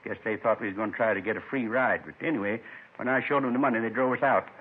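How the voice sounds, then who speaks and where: An actor playing a lonely, gentle man in his seventies, folksy and wistful, confessing in short rambling phrases in 1950s radio drama style. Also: lowish voice, quick pace, husky. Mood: earnest. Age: 70s. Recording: muffled 1950s radio recording.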